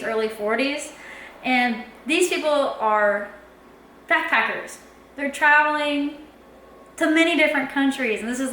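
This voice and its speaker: A young woman tells a story from her own life, high-pitched and bright-toned. She rambles in an easy, animated way and slips into her past self's words. The room is echoey, with a faint hum of background noise. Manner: chatty, animated, lots of "like", acts out her own past lines. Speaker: vlogger telling a personal story. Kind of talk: casual storytime.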